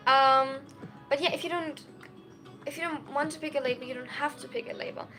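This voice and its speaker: A woman's voice: high-pitched, steady tone, a little fast, pushed and bright, faint music behind. A woman of twenty-one chatting casually on a livestream, answering viewer questions in a giggly way full of "like" and "um". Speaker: streamer and college student.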